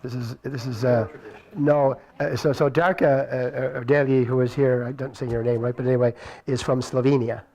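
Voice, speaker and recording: A man presenting an informal question and answer session after a show, speaking in a halting, conversational way. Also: mid-range voice, fairly even intonation, creaky, a little mellow. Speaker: storyteller and show presenter at audience Q&A. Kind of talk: informal spoken Q&A to audience. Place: live venue before an audience. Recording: live room with audience noise.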